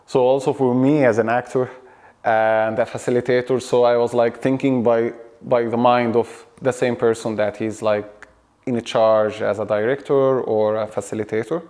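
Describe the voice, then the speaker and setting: A man, an Arabic-speaking actor and drama facilitator answering an interview question in a relaxed, friendly way, often repeating words. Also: mid-range voice, moderate pace. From Egypt. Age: 30s.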